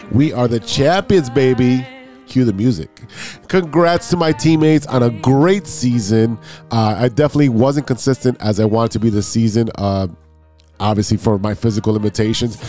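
A man's voice: medium pitch, mellow. A man hosting a casual sports podcast, sounding stuffed up and nasal from allergies, rambling and correcting himself as he gets hyped. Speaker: podcast host.

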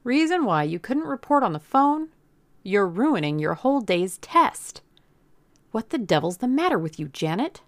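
A woman's voice: on the low side, moderate pace, vocal fry, no echo. A woman voicing a bossy, irritable male executive in an audiobook, dismissive and blustering. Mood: irritated. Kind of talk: audiobook character acting.

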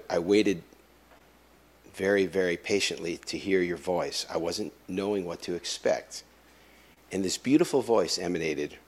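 Deep-voiced man hosting an interview. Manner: halting, many restarts and fillers. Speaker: interviewer host. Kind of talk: conversational interview.

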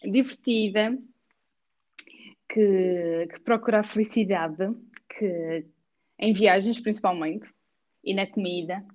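A woman in her thirties with a Madeiran Portuguese accent, a guest answering in a halting, hesitant way with frequent laughs. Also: medium pitch, darkish tone, highs cut off. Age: 30s. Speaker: podcast guest. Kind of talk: casual conversational interview. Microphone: remote call.

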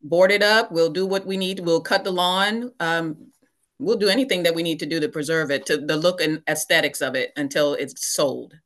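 A woman's voice: low voice, moderate pace. A woman in her forties from the Bahamas, a teacher and entrepreneur, talking casually on a podcast over a video call, explaining things plainly with fillers. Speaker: guest.

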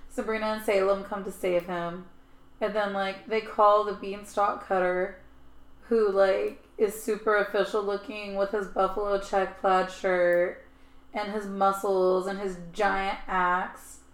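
A woman's voice: mid-range voice, little pitch movement, a little slow. Chatty woman in an echoey room. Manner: chatty storytelling, frequent "like". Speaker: podcast co-host. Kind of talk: casual chat.

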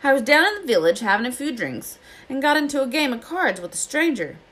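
A woman acting out a big storybook gamekeeper, a proud and friendly man with rustic, blustering talk. The pitch is pushed up from the reader's own and swings about in lively fashion. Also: brisk, exaggerated voice. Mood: proud, eager.

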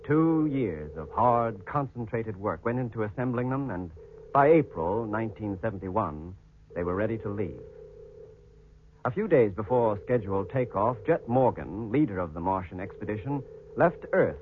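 A man's voice: on the low side, expressive, slight background noise, lightly acted. Man acting grave astronaut narrator, vintage radio. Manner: measured, solemn, formal. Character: grave, weary astronaut narrating his expedition journal. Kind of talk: 1950s radio drama narration. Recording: vintage 1950s radio, narrow band, music behind.

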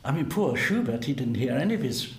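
A man's voice: medium pitch, expressive, a little fast. Older guy chatting about music, wry and musing, roomy sound with faint hum. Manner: musing, asks tag questions, chuckles at himself. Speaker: cellist and guest. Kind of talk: conversational interview.

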